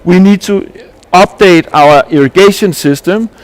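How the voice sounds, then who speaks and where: A man's voice: high voice, a little slow. Man presenting at a forum. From Denmark. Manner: earnest, explanatory, with frequent restarts and rhetorical questions. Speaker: landscape architect presenting at a panel. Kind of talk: conference presentation.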